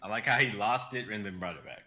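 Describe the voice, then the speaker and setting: A man's voice: on the low side, quick pace, very noisy. A young man chatting playfully with castmates on a live stream, goofing around and pleading half in jest. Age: young adult. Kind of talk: casual stream chat.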